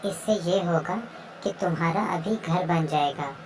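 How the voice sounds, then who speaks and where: A woman's voice: lowish voice, unhurried, a crowd in the background, natural voice, rough recording. A woman voicing a kind, worried female pigeon in a children's cartoon story. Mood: kind, persuading.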